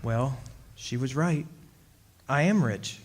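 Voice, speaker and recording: A man's voice: mid-range voice, takes it slowly, very noisy, natural voice. An actor playing an uneasy immortal man, telling his story in a confiding, conversational way in a radio drama revival. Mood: wry, matter-of-fact. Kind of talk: stage revival of 1940s radio drama narration.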